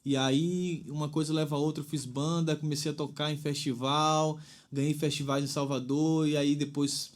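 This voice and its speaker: A man's voice: on the high side, little pitch movement, moderate pace. A man in his thirties from Bahia, Brazil, a singer-songwriter guest talking reflectively and at length, tagging phrases with "né?". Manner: thoughtful, rambling with frequent "né?". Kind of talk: reflective interview talk.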